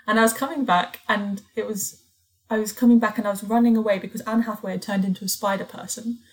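A woman hosting a podcast, telling rambling stories in a relaxed way with dry teasing humour. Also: mid-range voice, fast talker, rough recording. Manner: storytelling, dry teasing, laughs mid-sentence. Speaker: podcast host. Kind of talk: casual chat.

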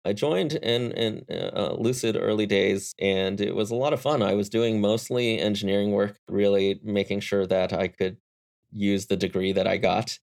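A young adult man, a guest engineer, chatting in a relaxed interview and telling stories with frequent restarts and chuckles. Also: on the low side, moderate pace, gravelly. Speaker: guest, engineer and startup founder. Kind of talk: casual interview conversation.

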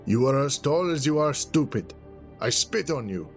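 A man's voice: animated, normal speed, faint music behind, close to an everyday voice. A man voicing a condescending, threatening fighter with a foreign accent and stilted, formal phrasing, in an audiobook. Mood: angry, threatening. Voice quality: deep.

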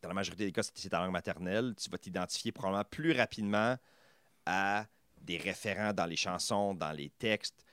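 A Franco-Ontarian man in his thirties talks in a low voice as a podcast guest. He chats loosely, trailing off and restarting with fillers. The sound is dry and close, with no room around it. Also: fairly quick, fairly bright tone, clean tone, dry recording. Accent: Franco-Ontarian French. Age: 30s. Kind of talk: casual conversation.

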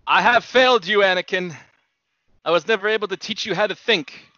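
A woman's voice: medium pitch, brisk, pushed and bright, no room sound, lo-fi sound, natural voice. A woman playing a stern, grieving male warrior mentor in an amateur drama, solemn and theatrical. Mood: urgent.

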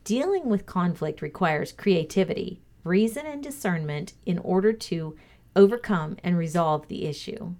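Lower-pitched woman reading a motivational lesson. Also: expressive, normal speed, a little mellow. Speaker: co-host reading a values lesson. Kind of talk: read-aloud motivational lesson.

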